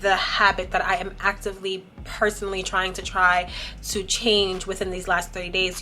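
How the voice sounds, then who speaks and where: A woman's voice: mid-range voice, sharp tone, a little hiss or hum. A young woman vlogging casually to camera, explaining things in a chatty way with plenty of "um" and "like". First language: not Arabic. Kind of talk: casual vlog talk to camera.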